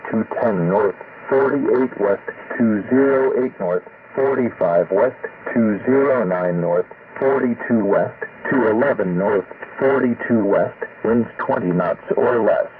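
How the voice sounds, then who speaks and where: A man with a lowish voice reads out a marine weather forecast in a mechanical, list-like manner. He speaks very slowly and deliberately. The sound is thin and tinny, like a radio receiver, with clear static hissing behind him. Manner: mechanical, list-like reading of coordinates. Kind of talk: automated marine forecast reading. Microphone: received over shortwave radio.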